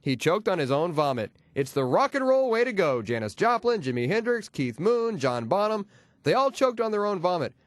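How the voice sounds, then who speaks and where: A man's voice: sits high, very animated, normal speed, dry recording. A man hosting a comedy radio show, delivering jokes and station patter in a punchy, wisecracking way on a close studio microphone. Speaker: radio host and DJ.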